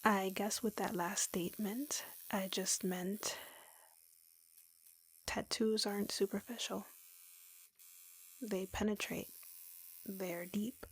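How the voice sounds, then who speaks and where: A woman voicing a lovesick, obsessive tattoo artist in an intimate roleplay audio, softly and breathily, in a slow, confiding way. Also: medium pitch, fairly even intonation, low-quality recording. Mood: calm, intimate. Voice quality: soft, breathy, whispery. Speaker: roleplay voice performer.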